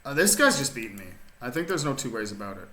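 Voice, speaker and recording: A man's voice: mid-range voice, very animated, fast talker, bright, forceful tone, slight background noise. A man chatting casually with viewers while streaming a chess game from his rural desert home, rambling and laid-back, full of fillers and restarts. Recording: roomy echo.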